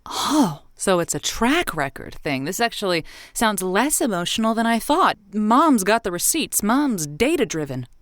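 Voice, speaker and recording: A woman's voice: medium pitch, big pitch swings, measured pace, few pauses, fairly bright tone, no echo, studio quality. A woman playing a playful, teasing radio advice-show host who rambles and hesitates, in a scripted audio drama.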